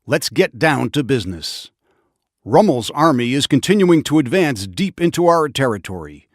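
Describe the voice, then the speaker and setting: A man's voice: medium pitch, big pitch swings, normal speed, dry recording, clean studio sound, lightly acted. An actor playing a resolute, urgent Allied army officer briefing his squad in an earnest, commanding way for a war game. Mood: grave, businesslike.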